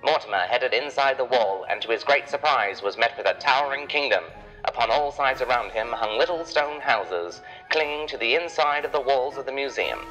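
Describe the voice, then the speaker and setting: A man's voice: medium pitch, moderate pace, bright, forceful tone, lo-fi sound, faint music behind. A man narrating a children's adventure story in a dramatic, scripted storytelling style.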